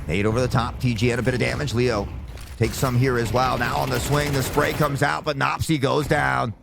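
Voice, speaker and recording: A male esports caster with a slightly sore throat, calling play-by-play and erupting into loud shouts on big plays. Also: mid-range voice, fairly even intonation, brisk, creaky, over music.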